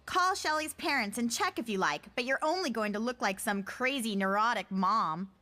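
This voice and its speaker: A woman playing an excitable, sarcastic teenage girl in a comic drama, with a whiny delivery. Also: a little high, moderate pace. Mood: sulky, sarcastic. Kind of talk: motion comic voice acting. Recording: voice over very loud music.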